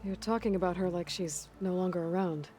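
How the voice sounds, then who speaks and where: An actress playing a determined young warrior heroine in a video game, plain-spoken and dry. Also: female voice, fairly low pitch, fairly even intonation, brisk, warm tone, slight background noise, natural voice. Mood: curious, wry. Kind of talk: video game cutscene acting.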